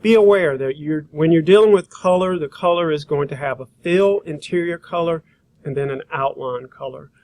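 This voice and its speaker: A man giving an informal software tutorial as an instructor, folksy and halting as he thinks aloud while demonstrating. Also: a little high, slight background noise. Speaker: graphic design instructor.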